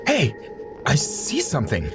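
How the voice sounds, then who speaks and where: A man voicing a jittery young tagalong in a horror audio drama. He speaks slowly and ribs his buddy with easy laughs while fretting about getting caught. Music plays beneath him. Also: fairly high pitch, huge pitch swings, gravelly, heavily acted. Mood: scared. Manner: teasing, laughs easily, worried.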